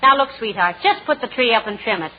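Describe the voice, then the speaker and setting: An actress playing a patient, dry-witted wife who gently teases in a 1940s radio comedy. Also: female voice, fairly high pitch, lively intonation, fast talker. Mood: teasing, pleading. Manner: wry, gently sarcastic. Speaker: lead comic actress. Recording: muffled, noisy 1940s radio with audience.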